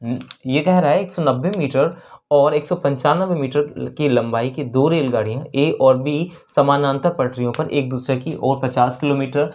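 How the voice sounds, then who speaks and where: Male exam-prep maths tutor, Indian accent, mid-pitched voice, step-by-step explaining with frequent repetition and check-ins. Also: steady tone, moderate pace, a little mellow. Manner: repeats and rephrases key phrases, checks in with learners. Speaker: maths teacher for exam preparation. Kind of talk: lesson explaining worked problems step by step.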